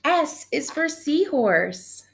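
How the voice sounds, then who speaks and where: A woman in her thirties, a teacher reading a picture book aloud to children in an animated, gushing way, recorded on a webcam in a small room at home. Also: sits high, extremely slow, creaky. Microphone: webcam at desk distance.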